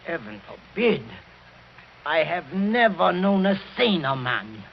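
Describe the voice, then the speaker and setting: An actor playing an obsequious, creepy doctor in a 1940s radio horror play, servile and insinuating. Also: male voice, a little high, very animated, slow pace, creaky, exaggerated voice. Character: obsequious, creepy doctor, loyal family retainer. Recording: muffled, noisy vintage radio recording.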